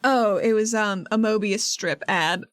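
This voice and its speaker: A woman's voice: mid-range voice, measured pace, no echo, clean studio sound, soft background music, lightly acted. A woman voicing a composed, dryly witty female regulator in a tabletop game, speaking politely. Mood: businesslike.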